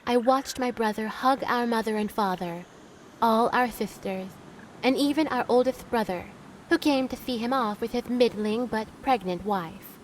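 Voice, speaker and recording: A woman playing a bitter, adventurous young seafarer in an audio drama, recounting her youth in deliberate, theatrical storytelling. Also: on the high side, a little hiss or hum, lightly acted, rough recording. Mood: wistful, elated. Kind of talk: scripted dramatic monologue narration.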